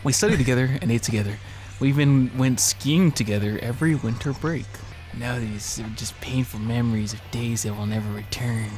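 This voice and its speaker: A man's voice: mid-range voice, brisk, darkish tone, low-quality recording. Joking man bantering over music. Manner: jokey, teasing, swears. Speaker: guest friend co-playing a visual novel. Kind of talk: casual joking banter, some read-aloud narration. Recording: music clearly behind the voice.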